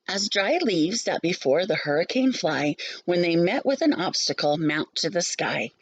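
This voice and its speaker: A woman schoolteacher reading rhyming children's verse aloud with an expressive, sing-song storybook delivery. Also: fairly low pitch, moderate pace, husky, dry recording.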